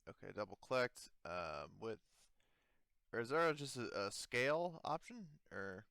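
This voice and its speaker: A man narrating a tabletop game as game master in a halting, informal way. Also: medium pitch, sing-song, creaky, bright, forceful tone, no echo, clean studio sound.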